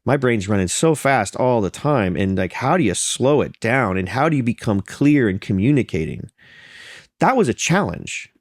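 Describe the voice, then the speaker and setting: A man with a slightly congested, faintly nasal voice at an ordinary middle pitch, telling his story earnestly and circling back to restart his sentences. The recording is dry and close, with no room around him. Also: sing-song, moderate pace, no echo. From Florida. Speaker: pastor and podcast guest. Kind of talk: conversational interview.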